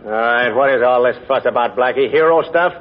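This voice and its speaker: An actor playing a gruff, suspicious, blustering police inspector with sardonic delivery in a 1940s radio drama. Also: male voice, mid-range voice, fairly quick, a little hiss or hum, lightly acted. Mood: sarcastic, exasperated. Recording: dull vintage 1940s radio recording.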